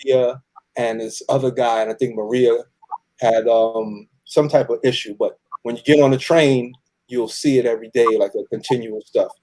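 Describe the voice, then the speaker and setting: A man from New York speaking as a cast member in a casual interview, halting and slangy. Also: medium pitch, fairly even intonation, a little mellow, rough recording. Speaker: audio drama cast member being interviewed.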